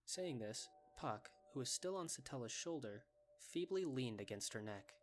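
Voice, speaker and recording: Male story narrator with soft music behind. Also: medium pitch, animated, moderate pace.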